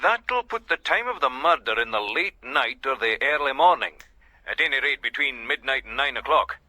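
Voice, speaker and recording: An actor playing a dutiful, deferential Scottish police sergeant who reports formally and methodically in a radio drama. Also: male voice, medium pitch, lively intonation, normal speed, sharp tone, no room sound. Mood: businesslike.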